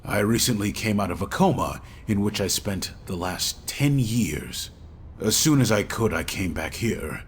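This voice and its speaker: Low, solemn secret-order recruiter. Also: male voice, slight background noise. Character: mysterious male secret-order member recruiting a detective, earnest. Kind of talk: video game cutscene acting.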